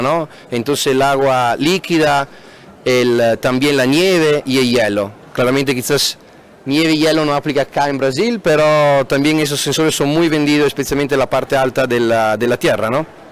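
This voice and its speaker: A man's voice: fairly high pitch, clean tone. A male engineer giving a product presentation in a webinar, hesitant with many fillers and restarts, speaking a language that is not his first. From Austria. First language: not Spanish.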